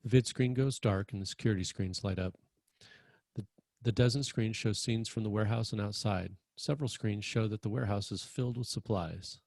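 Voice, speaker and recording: Low, gravelly male narrator, dry sound. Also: a little fast, darkish tone, no room sound. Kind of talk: scripted narration of screenplay directions.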